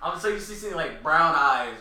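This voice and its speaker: A young man in a casual podcast chat, animated and slangy, often laughing. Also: on the high side, normal speed, crowd noise. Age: young adult. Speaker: podcast co-host. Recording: very echoey, from far off the mic.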